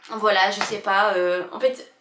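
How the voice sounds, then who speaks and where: A woman's voice: medium pitch, steady tone, fairly bright tone, slight background noise. Emotional young woman in an echoey room. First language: not French. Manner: emotional, halting, many fillers and restarts. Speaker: vlogger. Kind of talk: confessional personal storytelling.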